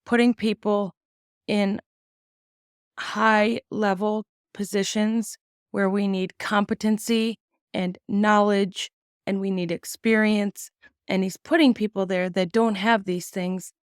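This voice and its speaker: A woman hosting a podcast, giving casual, blunt opinions on the news, swearing freely and using many ums, sounding sick and tired with a slightly raspy voice. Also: medium pitch, little pitch movement, takes it slowly, dry recording, studio quality. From United States. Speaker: podcast host.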